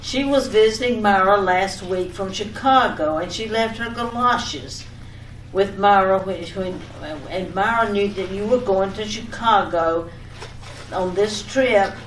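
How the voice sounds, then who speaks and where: A woman's voice: mid-range voice, a little slow, close to an everyday voice. Woman acting a fussy radio-skit wife, echoey room. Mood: insistent. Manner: fussy, repeating herself. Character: fussing, nagging, affectionate wife seeing husband off. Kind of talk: old-time radio comedy skit acting. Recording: echoey room, distant mic, background noise.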